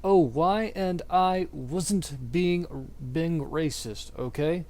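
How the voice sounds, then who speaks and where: A man's voice: on the high side, slow, darkish tone. A man giving an exaggerated dramatic reading of fan fiction, prone to stumbles and breaking up. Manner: theatrical, exaggerated delivery. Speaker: amateur reader.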